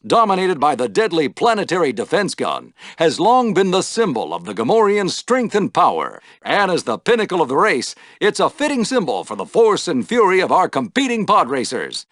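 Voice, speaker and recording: An exuberant sci-fi race announcer for a video game. The voice sits on the high side for a male and swings dramatically up and down, with showy, theatrical flair and a wry edge. The sound is dry and close, like a studio booth. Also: fairly bright tone, dry recording. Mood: showy, enthusiastic. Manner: showy, dramatic broadcaster delivery. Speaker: sports announcer.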